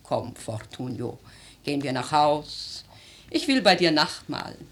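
A woman playing an aristocratic old grandmother in a German radio drama, speaking in a theatrical, measured, lyrical way, worldly and imperious. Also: low-pitched, expressive, husky, heavily acted. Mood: gently admonishing. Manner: theatrical, measured, lyrical, with emphatic swells and hushed phrases.

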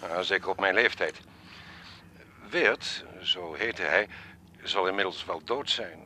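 An actor playing an elderly, courteous, wistful art collector in a radio drama, speaking in a formal, measured, reflective way. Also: male voice, deep voice, sharp tone, a little hiss or hum, full character voice. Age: 70s or older.

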